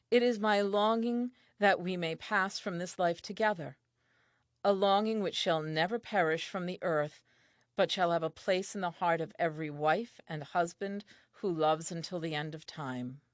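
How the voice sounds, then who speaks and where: A woman's voice: lowish voice, measured pace, dry recording, studio quality, natural voice. An actress playing a tender, wondering first woman reading her diary in an audio play, reflective and earnest. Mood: solemn longing.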